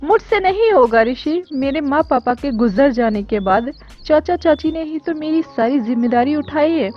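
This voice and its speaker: A woman playing a timid, dutiful young woman in an animated story. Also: sits high, moderate pace. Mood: fearful, pleading. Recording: dull lo-fi audio with music.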